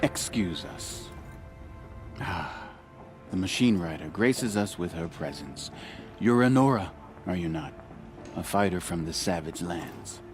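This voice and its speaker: Haughty hunt leader, contemptuous, deep voice, slow deliberate pace, video game cutscene. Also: male voice, lively intonation, music in the background. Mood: condescending. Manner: sneering, pompous. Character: haughty, contemptuous male leader of a hunting lodge.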